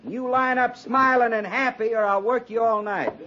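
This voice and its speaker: An actor playing a gruff, sardonic cavalry sergeant barking orders in a 1950s radio western. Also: male voice, extremely high pitch, fairly even intonation, normal speed, background noise. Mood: commanding, sardonic. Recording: muffled 1950s radio recording, background voices.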